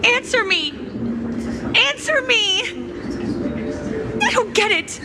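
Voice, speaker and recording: An actress playing a melancholic, mysterious game character who savors others' desires, speaking in a deliberate, theatrical manner. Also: female voice, very high voice, animated, heavy background noise, full character voice. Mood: distraught, tearful. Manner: slow, deliberate, theatrical delivery. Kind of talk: scripted game character acting. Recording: loud game music behind the voice. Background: music.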